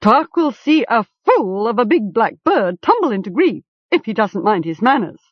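Plucky young boy voiced by a woman in a storybook, earnest, slightly raised pitch. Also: sing-song, moderate pace, slightly rough, dry recording, muffled recording.

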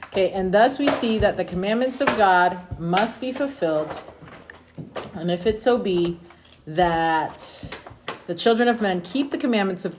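A woman with a fairly low voice reads scripture aloud to family in an informal way, slipping in casual asides. It is a home recording with faint noise and small clicks behind her. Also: a little mellow. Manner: informal, breaking off to chat with children. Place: at home among family with children.

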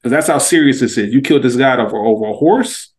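A male podcast co-host with an American accent and an average-pitched voice. He talks casually but analytically, building his point emphatically with plenty of slang. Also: quick pace.

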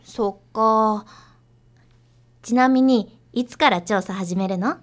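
A woman's voice: a little high, slow pace. A woman playing a perky, pushy young idol in a radio drama, girlish, breezy and familiar. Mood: excited, wheedling.

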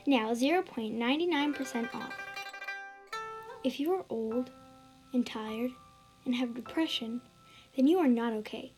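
An earnest commercial announcer played by a woman, pitching in a parody radio ad style. The voice sits fairly high, with music clearly audible behind it. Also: a little mellow, close to an everyday voice, rough recording.

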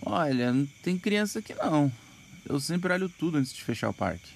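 Man acting a creaky-voiced, evasive Brazilian night watchman. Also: fairly high pitch, sing-song, brisk, heavily acted. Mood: reluctant, evasive. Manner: hedging, colloquial. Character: reluctant, evasive amusement park night watchman. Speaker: voice actor. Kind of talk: audio drama acting.

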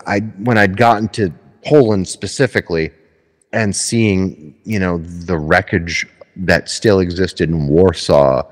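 Deep-voiced musician guest, reflective. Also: male voice, big-room sound. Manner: reflective, stammering repeats. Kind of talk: casual conversation.

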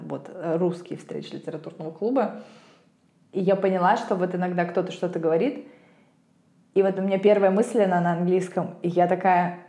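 A woman from Russia, an English teacher co-hosting a podcast, telling stories in an animated, emphatic way. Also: mid-range voice. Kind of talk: casual podcast chat. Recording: roomy echo.

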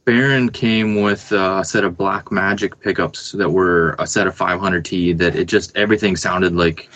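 A man hosting a podcast in casual chat, informal and a little halting as he works through details. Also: deep voice, fairly even intonation, fairly bright tone, no room sound. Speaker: podcast host.

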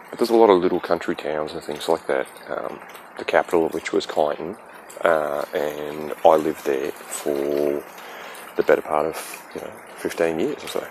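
Australian man, very deep voice, hesitant podcast monologue, faint hiss behind. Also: rough recording. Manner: halting, many ums and restarts; earnest and reflective. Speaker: podcast host.

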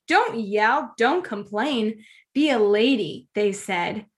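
A woman co-hosting a podcast, expressive and dramatic when reciting, quick to agree in chat. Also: on the high side. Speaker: podcast co-host. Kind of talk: casual chat, reads a spoken-word list.

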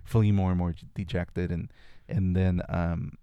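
A man's voice: deep voice, little pitch movement, measured pace, clear, resonant tone, no echo, lo-fi sound. A man whose first language is Spanish, a guest reflectively telling stories from his childhood in a casual conversation. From California. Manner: reflective storytelling with frequent restarts.

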